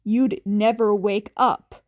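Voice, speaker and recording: A woman voicing a blunt, stern teenage boy with a deadpan, grumbling way of talking in fan fiction dialogue. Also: mid-range voice, takes it slowly, pitched below the performer's own voice, lightly acted. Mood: argumentative. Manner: deadpan, grumbling, plain-spoken.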